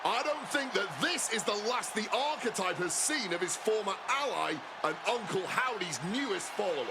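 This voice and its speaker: A man playing an opinionated, excitable color commentator on wrestling in a video game, over loud music. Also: sits high, normal speed, heavy background noise. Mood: alarmed.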